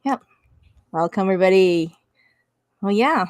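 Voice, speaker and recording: A woman's voice: mid-range voice, lively intonation, darkish tone, dry recording. Casual woman hosting a live-stream panel. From Canada. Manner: casual, halting with fillers. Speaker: host. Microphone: video call.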